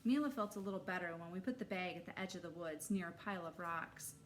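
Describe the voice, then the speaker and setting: A woman reading a story aloud to young children, narrating expressively and deliberately. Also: fairly low pitch. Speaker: read-aloud storyteller for first graders. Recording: faint background noise.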